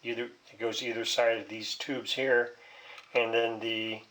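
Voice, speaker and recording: A man's voice: mid-range voice, little pitch movement, unhurried, a bit bright, poor audio. A man giving a casual, rambling hands-on repair demonstration, thinking aloud in a hesitant, folksy way. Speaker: hobbyist repairing a vintage radio.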